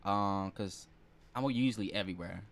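A man's voice: lowish voice, moderate pace, vocal fry. An American man hosting a podcast in a casual, slangy, chatty way. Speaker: podcast host. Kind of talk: casual chat.

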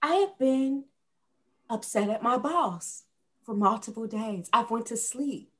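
A woman's voice: a little high, lively intonation, a little slow. A young woman from Indiana, a podcast guest, arguing her point in an emphatic, teasing way. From Indiana, USA. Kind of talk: casual conversational debate.

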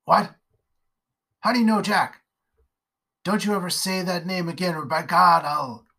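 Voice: medium pitch, unhurried, sharp tone, heavily acted. Narrator doing a raving haunted backwoods father. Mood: gruff. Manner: rough rustic dialect, wild ranting. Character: haunted, violent, drunken rural father, gruff. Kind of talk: storybook character voice.

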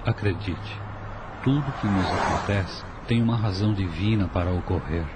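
A man with a Brazilian accent playing a protective, devout older father, calm and consoling, in a radio soap. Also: low-pitched, moderate pace, heavy background noise, lightly acted. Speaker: radio drama actor.

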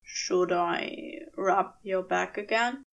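A woman voicing an awkward, bashful, soft-hearted male demon in a story, with halting, stammering, clipped speech. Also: on the low side, fairly even intonation, gravelly, far from the mic, highs cut off, pitched below the performer's own voice, full character voice. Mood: soft, shy.

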